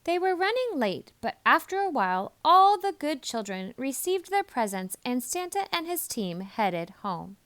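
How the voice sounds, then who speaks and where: A woman narrating a children's story expressively, leaning deliberately on key words. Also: high-pitched, big pitch swings, fairly bright tone. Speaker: storybook reader.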